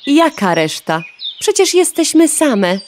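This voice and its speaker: Cheeky, lively-pitched young hen. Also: female voice, takes it slowly, heavily acted. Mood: flippant. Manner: flippant, nonchalant, cheeky. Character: vain, cheeky, dim young hen, breezily self-absorbed. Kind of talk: children's radio play acting. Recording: faint birdsong and noise behind. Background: birdsong.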